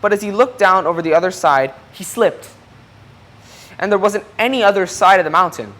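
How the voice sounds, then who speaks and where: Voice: medium pitch, a little fast, fairly bright tone. A person reading a children's picture book aloud expressively, explaining things and putting questions to young listeners. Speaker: storybook reader.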